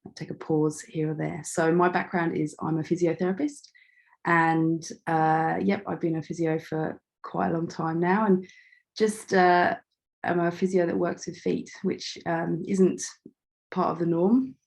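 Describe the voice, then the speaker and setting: A middle-aged woman speaks in a fairly low, slightly croaky voice. She is chatty and informal, modest about herself, and often pauses to find the next phrase as she presents as a guest on an online call. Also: level tone, a little mellow. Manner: chatty, self-deprecating, hesitant phrasing. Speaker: start-up founder and guest speaker. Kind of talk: informal online talk about own background.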